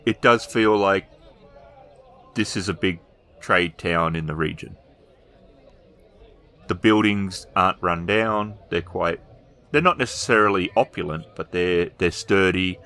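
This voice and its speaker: A man narrating a tabletop role-playing game as storyteller, improvising descriptions with hesitant pauses. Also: sits low, a bit bright.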